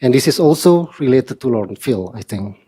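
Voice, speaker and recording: A man from Indonesia in his forties gives a prepared statement at a council meeting. He speaks earnestly and with measured pacing, in a mid-pitched voice with slightly formal phrasing. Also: lively intonation, warm tone, slightly rough.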